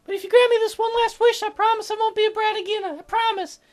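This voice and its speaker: A man's voice: extremely high pitch, fairly even intonation, fast talker, pitched above the performer's own voice. A man voicing a teasing, wistful, stubborn young sick girl in a visual novel, with a hesitant, pleading way of talking. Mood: shy, pleading.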